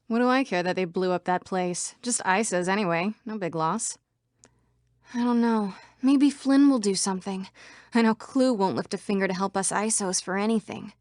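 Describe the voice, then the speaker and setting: A woman's voice: medium pitch, moderate pace, a little mellow, no room sound, clean studio sound, close to an everyday voice. A woman voicing a female computer program citizen in a video game. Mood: suspicious, dismissive.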